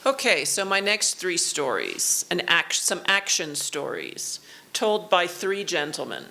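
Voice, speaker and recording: A woman delivers an academic lecture in a university auditorium. Her voice sits fairly low and she speaks very slowly, in short measured phrases with pauses between them. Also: sing-song, creaky, bright, forceful tone. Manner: slow, measured, pausing for the interpreter. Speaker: keynote lecturer. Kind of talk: academic lecture in English with consecutive interpreting.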